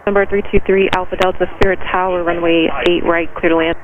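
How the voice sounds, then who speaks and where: A man working as an air traffic tower controller, giving clipped, procedural clearances and instructions over aviation radio. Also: sits high, level tone, fast talker, narrow, tinny audio. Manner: clipped, procedural, matter-of-fact. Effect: aviation radio transmission.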